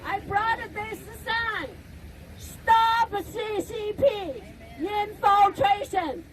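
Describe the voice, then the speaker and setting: A middle-aged woman from China with a Chinese accent, giving an emphatic protest speech outdoors and rising to shouts at key words. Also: very high-pitched, fairly even intonation, very slow, slight background noise, rough recording. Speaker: rally speaker. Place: outdoors at a street rally.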